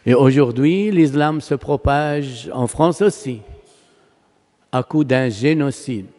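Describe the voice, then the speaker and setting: A Palestinian man, a native Arabic speaker with a Palestinian Arabic accent, preaching a sermon as a priest in an emphatic, oratorical manner with rhetorical questions and pauses. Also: mid-range voice, darkish tone. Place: large church, preaching to a congregation. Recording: long reverberant church hall echo.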